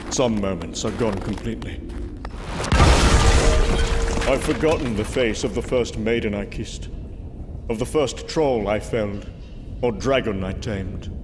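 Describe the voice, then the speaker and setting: An actor playing an immortal Norse god of thunder, brooding and proud, with solemn archaic phrasing in a dramatic audio drama. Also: male voice, lowish voice, background noise, natural voice. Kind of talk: dramatic comic-book audio drama narration and dialogue. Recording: long reverb, music underneath. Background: music.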